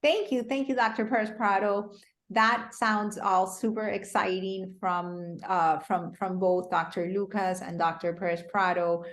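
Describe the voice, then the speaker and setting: A woman hosting an online information session in a polite, semi-formal way, halting and repeating phrases as she goes. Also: medium pitch, fairly even intonation, fairly bright tone. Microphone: video call. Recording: roomy, echoey video call.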